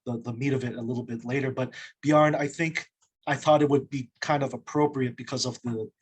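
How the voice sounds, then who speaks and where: Male interview host, husky voice, mid pitch, asks haltingly. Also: steady tone. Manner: hesitant, halting. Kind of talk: interview questions.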